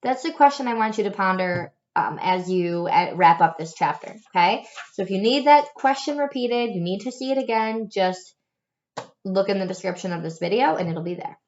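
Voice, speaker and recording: A woman teacher talks to her students in a gentle, patient, encouraging way after reading a chapter book aloud. She often restarts her sentences and uses fillers. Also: mid-range voice, animated, moderate pace, highs cut off. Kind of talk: recorded lesson for students.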